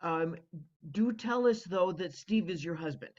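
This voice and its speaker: A co-host giving effusive, warm feedback on a story over a video call. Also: mid-range voice, lively intonation, unhurried. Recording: dull lo-fi video call audio.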